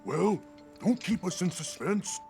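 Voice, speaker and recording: A man's voice: high voice, very animated, unhurried, darkish tone, faint music behind, full character voice. A man playing a confident, inquisitive warrior in a video game cutscene. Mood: eager, expectant.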